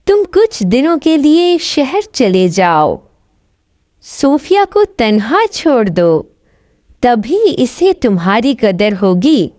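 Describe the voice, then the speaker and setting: A woman voicing a gentle, sympathetic fairy who gives wise counsel in a storybook tale. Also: fairly high pitch, sing-song, no room sound, studio quality. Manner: sympathetic, advising.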